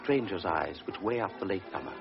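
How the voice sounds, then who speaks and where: A man narrating a literary radio drama in a deliberate, solemn manner. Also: sits low, lively intonation, creaky. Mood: measured. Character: measured storyteller of a pre-war tale. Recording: dull vintage radio recording with music.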